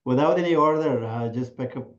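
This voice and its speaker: A male host runs an online meeting in a polite, halting way. His voice sits in the middle range and comes through a muffled, dull video call line. He pauses often and fills the gaps with "uh". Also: medium pitch. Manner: polite, hesitant, with many fillers. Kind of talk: hosting an online class meeting.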